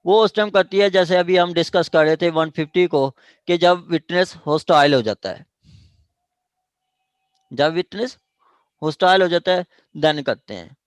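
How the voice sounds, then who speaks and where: Male online lecturer, Pakistani accent, slightly high voice, very unhurried, halting and repetitive, mixes in English terms. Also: clear, resonant tone, no echo. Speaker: law lecturer. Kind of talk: online lecture explaining evidence law. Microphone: online class call.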